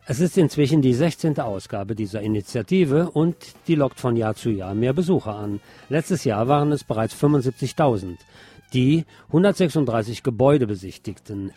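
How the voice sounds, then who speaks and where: Mellow-voiced man presenting radio news. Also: medium pitch. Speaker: radio show host. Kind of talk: news and culture tips for radio.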